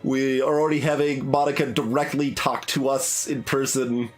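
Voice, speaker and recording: A man's voice: mid-range voice, flat delivery, normal speed. Man thinking aloud in game commentary. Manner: hesitant, thinking aloud, self-correcting. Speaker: streamer playing through a game. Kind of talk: casual analytical game commentary, reading text aloud.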